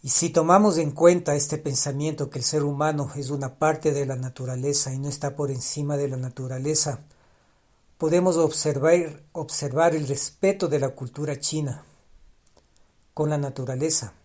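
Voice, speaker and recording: A man's voice: a little high, steady tone, normal speed, darkish tone. A man speaking alone on a podcast in a reflective way, often restarting his phrases and repeating ideas for emphasis, his first language Spanish. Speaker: podcast host.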